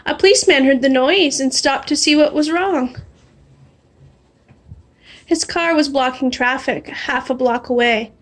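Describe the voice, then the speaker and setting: High-voiced woman reading a picture book aloud. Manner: animated, drawing out refrains slowly. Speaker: storybook reader. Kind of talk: expressive read-aloud of a children's picture book.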